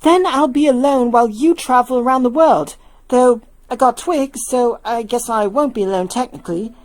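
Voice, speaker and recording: A woman voicing a tired, sarcastic but caring young woman in a fan fiction reading, in a wry, animated way. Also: on the high side, moderate pace, voice pushed up, close to an everyday voice. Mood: resigned.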